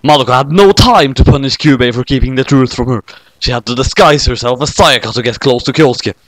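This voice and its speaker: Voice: mid-range voice, brisk, fairly bright tone, no room sound. A narrator reading a scripted comic parody story.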